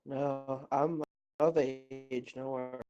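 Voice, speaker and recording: A man's voice: medium pitch, level tone, slow pace, warm tone, dull audio. A man chatting casually online in a blunt, sweary, exasperated way. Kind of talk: casual voice-chat banter.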